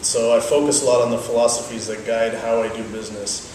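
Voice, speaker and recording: A young man whose first language is Dutch, giving measured, explanatory answers in an interview. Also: medium pitch, steady tone, a little mellow, a little hiss or hum. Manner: measured, explanatory, thoughtful pauses. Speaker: interviewee, business owner. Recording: very echoey, from a distance, faint ambience.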